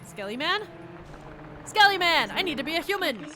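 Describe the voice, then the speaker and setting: A woman's voice: very high voice, big pitch swings, moderate pace, background noise. A young woman, a little stuffy from allergies, chatting sarcastically to her viewers and the game while streaming. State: sniffly from allergies. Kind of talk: casual let's-play commentary.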